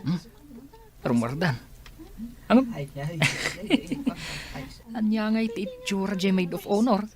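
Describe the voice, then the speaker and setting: A woman playing an excitable, nosy wedding guest in a radio drama. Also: mid-range voice, slow pace, pauses often. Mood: excited curiosity.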